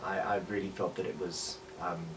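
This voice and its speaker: A South African man in his forties reflecting on personal experience in a halting way, with repeated words and pauses. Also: deep voice, flat delivery. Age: 40s. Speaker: podcaster and voice actor. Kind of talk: personal reflection, interview-style talk. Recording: distant mic with faint background noise.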